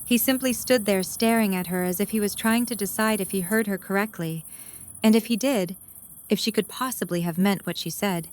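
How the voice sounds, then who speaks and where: A woman's voice: mid-range voice, moderate pace. A woman reading scripted third-person story narration for an audio drama.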